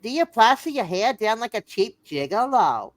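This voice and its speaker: A woman's voice: on the high side, sing-song, normal speed, no echo. A woman playing a mock-earnest old-time radio announcer reading an advertisement.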